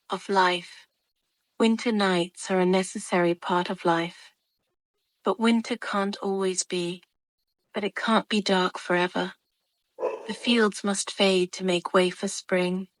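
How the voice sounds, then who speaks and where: A woman leading a guided meditation with soothing, calm narration in short, measured phrases and gentle pauses. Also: mid-range voice, no room sound.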